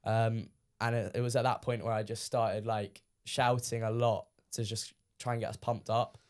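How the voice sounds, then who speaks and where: A teenage man from the UK, a guest on a podcast, talking casually with lots of ums, his voice a little croaky from shouting. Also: mid-range voice, moderate pace. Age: teens. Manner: relaxed, many ums, recounting matches. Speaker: guest player.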